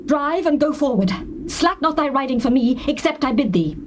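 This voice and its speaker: A woman's voice: sits high, animated, normal speed. An actress playing the devout Shunammite woman, speaking earnestly in a dramatized scripture recording with faint tape hiss. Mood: urgent. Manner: earnest, pleading.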